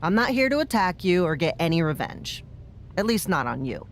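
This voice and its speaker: A woman's voice: fairly low pitch, sing-song, normal speed, a little hiss or hum. A woman playing an easygoing veteran pro wrestler in a video game cutscene, wry and candid as she talks. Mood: wry, reassuring. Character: easygoing veteran female wrestler seeking a grudge match.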